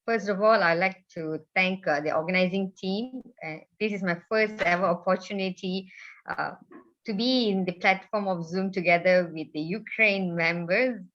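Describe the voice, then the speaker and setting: A woman's voice: mid-range voice, moderate pace, fairly bright tone, lo-fi sound. A woman from Malaysia politely introducing herself, with hesitant fillers.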